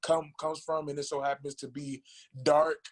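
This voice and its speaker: A man chatting casually as a guest music producer, halting and repeating himself, with plenty of slang. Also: on the high side, flat delivery. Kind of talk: casual interview chat.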